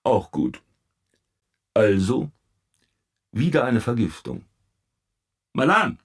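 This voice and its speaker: A man's voice: medium pitch, sing-song, slow pace, breathy edge, full character voice. An actor playing a dryly exasperated male police commissioner with curt, clipped replies in a German radio play. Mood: brisk, commanding.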